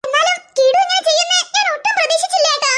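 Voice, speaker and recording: Cartoon mom voiced by a performer, stern, scolding, very high, rapid, noisy track. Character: scolding young mother. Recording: loud background noise.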